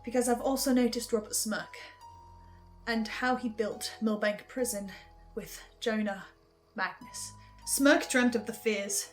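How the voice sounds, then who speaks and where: A woman with a fairly high voice hosts a dramatic, investigative recap. She is pensive and leaves deliberate pauses, with music playing underneath. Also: steady tone. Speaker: host of a fan recap series.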